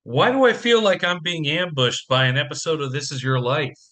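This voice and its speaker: An actor playing a harried single dad in an audio play, joking and self-mocking in a theatrical manner. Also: male voice, fairly high pitch, fairly quick, fairly bright tone, natural voice. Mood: wry banter.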